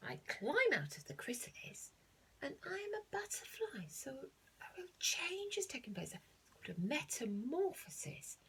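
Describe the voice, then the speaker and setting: Husky, very animated woman reading to children. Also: mid-range voice, low-quality recording. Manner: expressive storytelling to young children; simple explanations with questions to the listener. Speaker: primary school teacher. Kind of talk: reading a children's nature book aloud with explanations.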